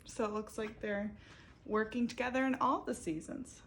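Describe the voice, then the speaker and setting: A woman's voice: on the high side, fairly even intonation, moderate pace, rough recording. A woman reading a picture book aloud expressively, stopping to ask her young listeners questions. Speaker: reader for children.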